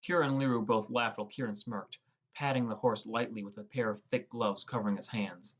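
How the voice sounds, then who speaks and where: A man's voice: on the low side, steady tone, fairly quick, breathy edge. A man reading scripted first-person narration as the narrator of an audiobook.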